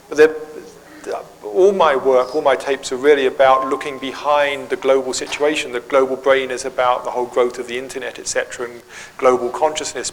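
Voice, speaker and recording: A man leading a conference session, answering questions and reflecting in a calm, gently humorous way. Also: mid-range voice. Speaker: workshop leader. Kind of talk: conference Q&A and talk. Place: conference hall. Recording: hall reverb, faint ambient noise.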